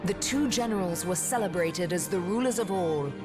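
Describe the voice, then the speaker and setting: An actress playing a solemn storyteller, narrating a fantasy video game legend in a grand, measured way. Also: female voice, lowish voice, lively intonation, natural voice. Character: solemn female storyteller of an ancient war legend. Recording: loud music behind the voice.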